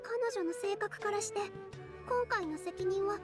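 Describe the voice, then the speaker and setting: An actress playing a gentle young girl in an anime fantasy game. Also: extremely high pitch, brisk, loud music behind the voice. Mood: concerned, explaining. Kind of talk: Japanese anime game voice acting.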